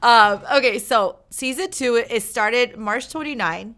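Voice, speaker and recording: A woman hosting a casual interview, chatting warmly with her guest and laughing often. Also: a little high. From United States. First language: Armenian. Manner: chatty and laughing, quick filler-heavy talk. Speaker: talk show host.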